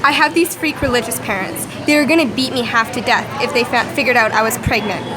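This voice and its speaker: A woman's voice: fairly high pitch, fast talker, noisy background, lightly acted. A woman playing a defensive young female criminal in an amateur radio play.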